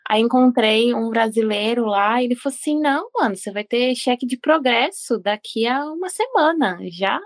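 A woman, a flight instructor, chatting casually on a podcast and telling her stories with lively quoted dialogue. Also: fairly high pitch, moderate pace, few pauses. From Brazil. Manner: storytelling, lively quoting.

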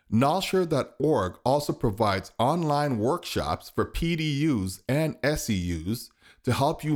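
A man reading a scripted podcast outro, briskly running through sponsor plugs and web addresses. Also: lowish voice. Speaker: podcast host.

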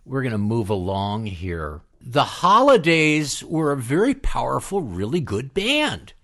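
A man's voice: medium pitch, huge pitch swings, unhurried, fairly bright tone. A man casually hosting a music show. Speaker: show host.

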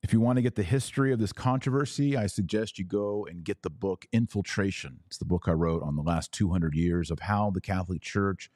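Male podcast host, low register, dry sound. Emphatic, deliberate religious commentator. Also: darkish tone, no room sound. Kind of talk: religious commentary with read-aloud passages.

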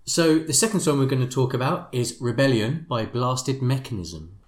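A man co-hosting a podcast, introducing songs and chatting in a relaxed way. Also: mid-range voice, normal speed. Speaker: podcast co-host. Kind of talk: casual chat introducing songs.

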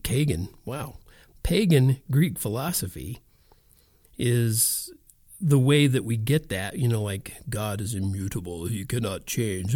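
A man's voice: dark tone, no echo, studio quality. A man giving an informal sermon as a podcast host, talking conversationally and asking the listener rhetorical questions. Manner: conversational, rhetorical questions, emphatic swings in pitch.

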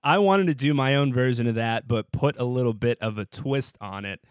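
A man's voice: mid-range voice, fairly quick, clear, resonant tone, no echo, clean studio sound. A man hosting a podcast, reading prepared interview questions with a fan's eagerness. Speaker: podcast host.

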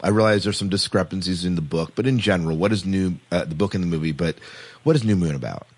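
Male podcast host, lowish pitch, casual joking interviewer who nudges guests along. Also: quick pace, husky.

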